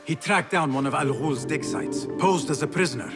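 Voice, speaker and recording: A man playing an earnest young street thief turned assassin in a video game, with measured, formal phrasing. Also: fairly high pitch, music in the background, natural voice. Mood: focused. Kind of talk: cinematic game voice acting.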